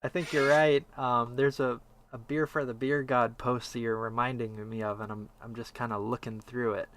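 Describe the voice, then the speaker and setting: A man's voice: medium pitch, little pitch movement, moderate pace, a bit bright, a little hiss or hum. A man co-hosting a casual podcast discussion, conversational, reading out passages and asking his co-host's view. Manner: conversational, reads quotes aloud, asks for opinions. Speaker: podcast co-host.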